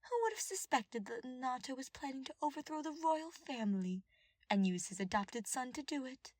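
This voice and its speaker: A woman voicing a smug, mocking female royal advisor who gloats in a drawn-out, scheming way in an audiobook-style story. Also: high-pitched, lively intonation, a little mellow, no echo, voice pushed up. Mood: gloating.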